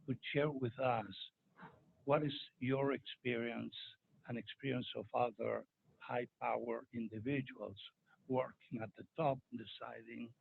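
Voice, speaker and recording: Slow, gravelly man asking a question. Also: medium pitch. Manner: halting, deliberate, formal wording. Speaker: audience questioner. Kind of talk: spoken question at a conference. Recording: muffled recording with faint room noise.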